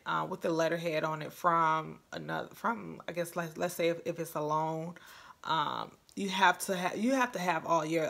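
A woman's voice: on the low side, creaky, fairly bright tone. A woman in her thirties chatting casually to camera as a vlogger, recorded indoors in a room at home. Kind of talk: casual chat to camera recounting an experience.

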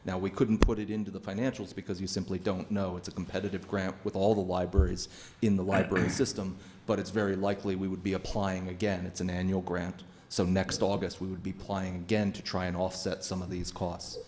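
A middle-aged man speaks into a podium microphone in a town hall meeting room, his voice very deep and level. He explains plans in a measured way, pausing with fillers, over a faint room hum. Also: little pitch movement, a little mellow. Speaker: architect presenting library project. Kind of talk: public meeting presentation.

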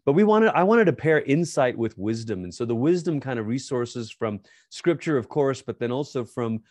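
A pastor in his forties from Malaysia explains his work in an earnest, animated way. He speaks into a studio microphone in a home study. The sound is close and dry. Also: mid-range voice, normal speed, no echo. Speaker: pastor and author guest. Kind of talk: interview answers. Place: home study with bookshelves, remote. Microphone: boom-arm studio mic.

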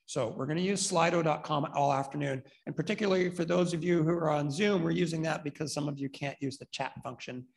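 A man in his thirties, moderating a conference panel and explaining how audience questions will work, in a relaxed, joking way. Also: a little high, quick pace, vocal fry, few pauses. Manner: casual and joking. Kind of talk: event instructions. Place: conference room with large window. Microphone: handheld mic.

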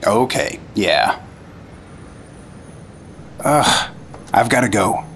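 An actor playing a plain-spoken, eager agent sidekick in a 1950s radio drama, talking in a casual, folksy way. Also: male voice, on the low side, expressive, extremely slow, fairly bright tone, a little hiss or hum, full character voice.

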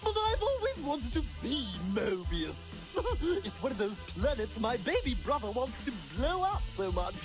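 A man's voice: very high voice, sing-song, measured pace, hardly any pauses, music in the background, full character voice, poor audio. A man voicing a jolly, naive alien prince in a cartoon, with an effusive manner. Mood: jolly, curious. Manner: posh, effusive, exclamatory. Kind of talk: animated cartoon acting.

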